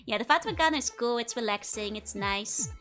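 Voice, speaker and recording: A woman's voice: on the high side, expressive, fairly quick, bright, forceful tone, soft background music. A woman with a Dutch accent, streaming and chatting casually with viewers, giggling often and rambling with lots of fillers. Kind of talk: casual chat to live viewers.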